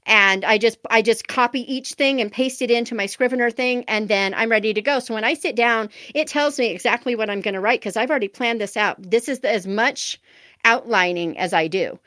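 A woman's voice: fairly high pitch, brisk, pushed and bright, no echo. A woman in her forties with a nasal voice, chatting candidly and self-deprecatingly as an author being interviewed. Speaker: author guest. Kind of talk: casual interview answers.

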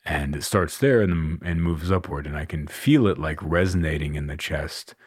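A man hosting a solo coaching podcast, talking in an unhurried, musing way with a deep chest voice and many fillers. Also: breathy edge, no echo, studio quality. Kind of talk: reflective solo podcast talk.

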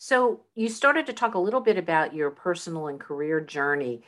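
Chatty low-voiced woman interviewer. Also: animated, a little fast. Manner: chatty, repeats words when starting a question. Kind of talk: casual interview questions.

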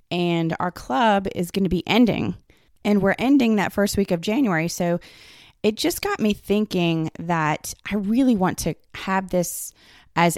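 Slightly raspy, hoarse woman with a cold, lowish voice and dry close sound, loose conversational solo podcast talk. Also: darkish tone, dry recording. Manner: loose, conversational, many "like" and "kind of". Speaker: podcast host.

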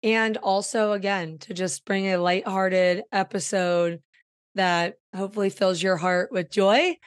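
A woman in her thirties hosting a podcast in casual chat, hoarse and raspy as she loses her voice, effusive and upbeat. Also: medium pitch, steady tone, unhurried, no echo, clean studio sound. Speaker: podcast host and growth coach.

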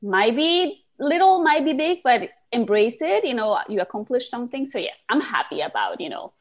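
A woman with a Colombian accent talks as a podcast guest, her voice high and swinging widely in pitch. She is expressive and laughs easily. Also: normal speed. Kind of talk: casual podcast interview.

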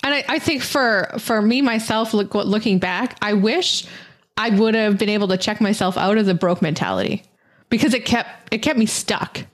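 A woman's voice: mid-range voice, moderate pace. A woman co-hosting a podcast, talking candidly in a casual chat and laughing easily.

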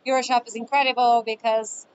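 A woman's voice: on the high side, flat delivery, a little fast, darkish tone, clean tone, rough recording. A woman from Brazil, a retail expert answering questions on a podcast with enthusiasm and frequent "right?". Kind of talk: casual podcast interview.

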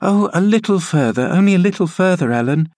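An eager, headstrong teenage girl, high and bright, as a man reading a storybook puts her on. She sounds impulsive and lively. The sound is dry and close. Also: fairly quick, no room sound.

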